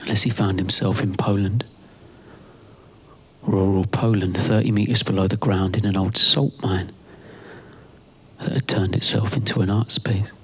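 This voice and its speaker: A man performing a spoken-word monologue in a deliberate, halting way, repeating phrases, with a husky voice. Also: fairly low pitch, steady tone, a bit bright. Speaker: performance artist and narrator.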